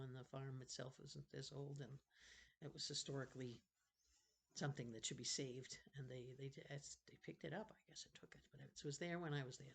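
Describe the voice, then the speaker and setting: A woman's voice: deep voice, creaky. Older woman, read-aloud storyteller, children's novel, chatty rambling asides, self-corrections.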